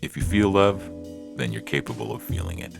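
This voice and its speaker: A man playing a calm, reassuring therapist in a science fiction audio story, gentle and patient, with music behind him. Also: sits low, full character voice. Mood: calm, encouraging. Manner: patient, gentle, measured questioning. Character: calm, reassuring male therapist to humans and robots. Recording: music clearly under the voice.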